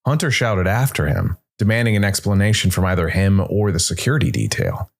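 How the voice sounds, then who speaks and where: A man narrating a scripted dramatic story in a measured way, with pauses for effect. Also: low-pitched, animated, gravelly. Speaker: narrator, solo storytelling player.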